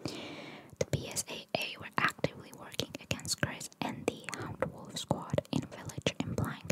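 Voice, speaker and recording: A woman whispering ASMR-style as she reads out trivia and adds informal, musing asides. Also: normal speed. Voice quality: soft, unvoiced whisper. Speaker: ASMR content creator. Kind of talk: whispered ASMR reading of trivia with casual commentary.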